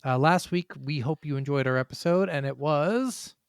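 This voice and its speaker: A man's voice: a little high, normal speed, creaky, clean tone, no echo, clean studio sound. A man hosting a casual gaming podcast, chatting bluntly and swearing freely. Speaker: podcast host.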